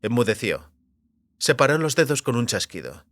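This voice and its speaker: A man narrates literary fantasy fiction from a script. His voice sits at a middle pitch, recorded very dry with no room sound. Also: very animated, normal speed, a bit bright, no echo. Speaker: audiobook narrator.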